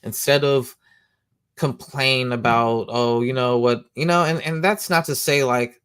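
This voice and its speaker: A man hosting a comic book show, rambling casually in slang, who says he has a loud voice. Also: mid-range voice, fairly even intonation, a little slow, smooth, dry recording, studio quality. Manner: slangy, rambling, lots of "you know what I'm saying". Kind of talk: casual monologue.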